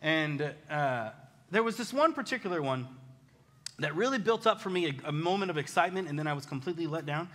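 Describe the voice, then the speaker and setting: An American man preaching a conversational sermon, casual, animated and self-deprecating, telling personal stories as a pastor. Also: fairly high pitch, brisk. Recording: reverberant hall.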